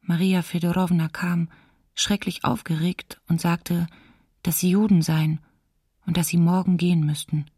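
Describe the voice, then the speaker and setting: Flat, subdued woman diarist. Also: lowish voice, a little slow, breathy edge, no room sound, studio quality. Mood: worried, subdued. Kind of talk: documentary radio drama testimony.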